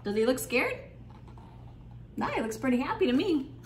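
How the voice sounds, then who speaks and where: A woman leading a storytime for young children, reading aloud in an animated, encouraging way and putting questions to her listeners. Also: high voice, normal speed. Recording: echoey room, clear background noise.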